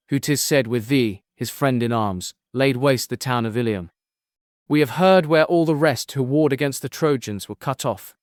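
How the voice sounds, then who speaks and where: A man's voice: mid-range voice, no room sound, clean studio sound, natural voice. A man playing an earnest young prince seeking his lost father, in a formal, solemn audiobook drama of epic verse. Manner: formal, solemn, pleading declamation.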